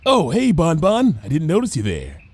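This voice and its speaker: Man voicing a dreamy, high-pitched female unicorn. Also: huge pitch swings, darkish tone, husky, dry recording, exaggerated voice. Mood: calm, unbothered. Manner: vague, knowing, unhurried. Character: dreamy, unworried female unicorn obsessed with secret truths.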